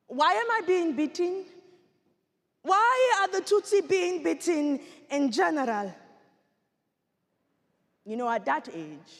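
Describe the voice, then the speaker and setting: A woman acts out a Rwandan woman who survived genocide, earnestly recounting her childhood. The voice is high and swings widely in pitch, with deliberate dramatic pauses. It rings through a hall with long reverb, as a competitive stage monologue. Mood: pleading.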